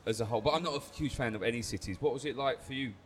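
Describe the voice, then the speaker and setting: A man's voice: mid-range voice, very fast. A man co-hosting a casual interview podcast, teasing and blunt with his questions. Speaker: podcast co-host. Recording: faint background noise.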